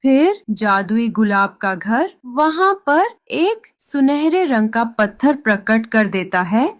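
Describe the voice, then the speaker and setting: A woman's voice: a little high, sing-song, slow, no room sound, studio quality. A woman narrator reading a scripted moral story for a cartoon.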